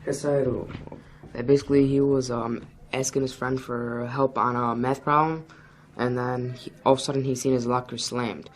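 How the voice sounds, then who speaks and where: A teenage male student acting a defensive high schooler, speaking casually with repetitive slang. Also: little pitch movement, moderate pace, natural voice. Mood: grudging. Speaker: student actor in a peer mediation dramatization. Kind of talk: acted school role-play dialogue. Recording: noisy room ambience.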